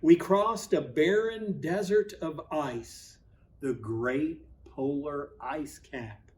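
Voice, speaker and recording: A man reading a children's Christmas storybook aloud in an expressive, measured way, with deliberate pauses and emphasis. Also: fairly high pitch, slight background noise. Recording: voice at a distance from mic.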